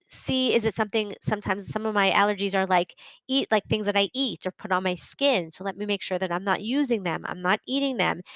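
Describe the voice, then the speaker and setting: A woman's voice: mid-range voice, fairly bright tone, no echo, low-quality recording. A middle-aged woman hosting a solo podcast, talking earnestly and conversationally, often checking in with "right?". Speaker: podcast host and coach.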